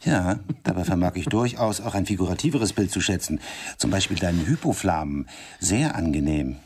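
A man's voice: deep voice, expressive, normal speed, a little mellow, a little hiss or hum. An actor playing a cultured, self-satisfied middle-aged man in a German radio drama, speaking in a pompous, emphatic, condescending way. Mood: smug, casual.

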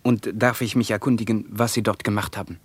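A mysterious male stranger in a radio drama, formal and polite yet inquisitive. His voice is low and husky. It is recorded dry, with very little room. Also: level tone, fairly quick, dry recording. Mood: courteous, probing. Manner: formal, courteous, probing.

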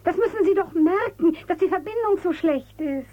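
A woman's voice: very high-pitched, animated, moderate pace. An actress playing a desperate, imploring mother in a radio drama. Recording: dull, lo-fi radio sound.

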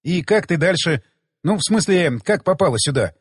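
A man's voice: on the high side, lively intonation, normal speed, husky, no echo, studio quality. A man voicing a wry, devoted male adventurer in an audiobook, with a casual, joking manner. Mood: amused, casual.

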